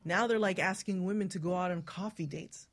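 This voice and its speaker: A woman's voice: lowish voice, a little fast. A woman hosting a casual radio panel chat, talking bluntly and swearing freely. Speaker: radio show host.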